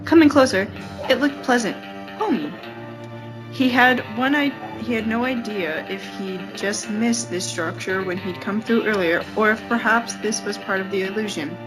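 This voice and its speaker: A woman's voice: mid-range voice, moderate pace, over music, poor audio. A woman podcast co-host reading a story aloud as an amateur, stumbling and repeating phrases as she goes. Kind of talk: amateur read-aloud of fan fiction.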